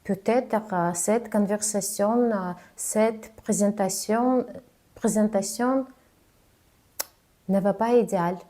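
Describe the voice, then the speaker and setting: A woman coach giving spoken advice on confident speaking, halting and careful as she talks in a language that is not her first. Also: medium pitch, mellow. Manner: careful, halting delivery of a non-native speaker. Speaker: communication coach.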